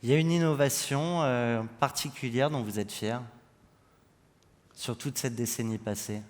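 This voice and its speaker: A man's voice: mid-range voice, measured pace. A man from France hosting an on-stage interview, putting questions to guests. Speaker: host, interviewer. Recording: echoey hall, long reverb.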